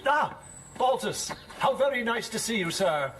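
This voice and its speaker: A man's voice: very high-pitched, lively intonation, unhurried, fairly bright tone, exaggerated voice. A man playing a vain, dreamy village schoolmaster in an audio drama, speaking in a pompous, flowery, effusive way. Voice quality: theatrical, florid delivery.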